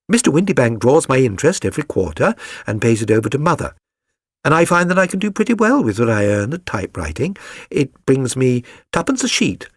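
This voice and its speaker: A man's voice: medium pitch, breathy edge, dry recording, studio quality, pitched above the performer's own voice. A man voicing a chatty, flustered young working-class London woman in a storybook reading, rambling earnestly and eagerly.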